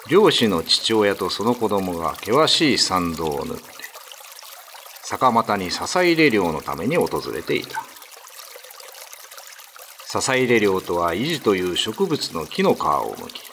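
Animated male ghost story narrator. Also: fairly low pitch, a little hiss or hum.

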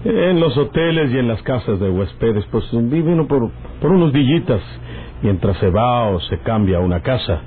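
An actor with a northern Mexican accent playing a folksy, domineering rural outlaw and smooth-talking lover in a radio drama. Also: male voice, mid-range voice, animated, normal speed, natural voice. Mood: reassuring. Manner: folksy rustic speech. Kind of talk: old-time radio drama acting. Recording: tinny, noisy old radio recording.

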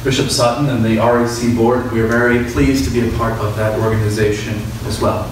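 A man's voice: mid-range voice, flat delivery, a little fast. A man, a priest, giving courteous, semi-formal announcements to a church congregation. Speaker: officiating priest. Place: church interior, at a lectern. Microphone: distant camera in the pews. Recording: very echoey church, distant, noisy.

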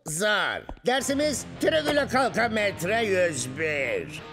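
A man's voice: high voice, big pitch swings, takes it slowly, over music, heavily acted. A man voicing a grumpy, bossy old substitute teacher in a cartoon dub, stern and pompous.

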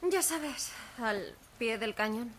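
A caring, gutsy young woman in a zombie game, spain spanish accent, fairly high, bit of noise. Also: animated, slow pace. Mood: calm, wry. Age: young adult. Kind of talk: dubbed video game cutscene acting.

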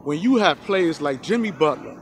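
A man's voice: a little high, animated, moderate pace, noisy background. Hyped man vlogging outdoors on a phone. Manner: hyped, preachy, keeps saying "y'all". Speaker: sports vlogger. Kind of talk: excited sports opinion vlog. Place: outdoors by a rocky hill in a park. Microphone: phone selfie camera at arm's length.

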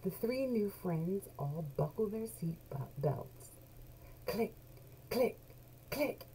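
A middle-aged woman reading a children's picture book aloud expressively, drawing out key words in a small room at home. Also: mid-range voice. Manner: animated storyteller, deliberate, drawn-out key words. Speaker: children's book read-along host. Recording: noisy home webcam recording.

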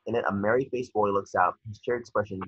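Guy narrating a script at a table read, raspy but sharp voice, muffled call audio. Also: lowish voice, fairly even intonation, fast, no room sound. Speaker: stage directions narrator. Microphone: video call. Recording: dull lo-fi video call audio.

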